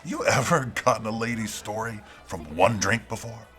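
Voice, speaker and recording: A man's voice: on the low side, moderate pace, fairly bright tone, faint music behind. An actor playing a surly, streetwise 1940s tough guy in a noir radio play, curt and needling in his slang. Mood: cagey.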